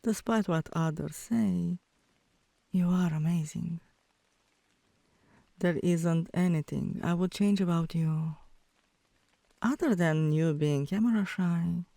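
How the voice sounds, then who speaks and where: A woman voicing a teasing, softly dominant tomboy girlfriend in an intimate ASMR roleplay, with a low voice that turns breathy at times and a coaxing, commanding manner. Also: slow pace, long pauses, no echo. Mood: affectionate.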